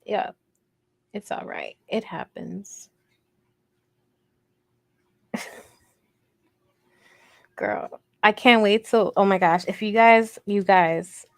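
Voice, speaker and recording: A woman with a soft voice, hosting a casual craft livestream in a rambling, playful, self-deprecating way as she answers viewer comments. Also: medium pitch, steady tone, drawn-out words, gravelly, pauses often, dry recording, studio quality. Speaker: host of a crochet livestream.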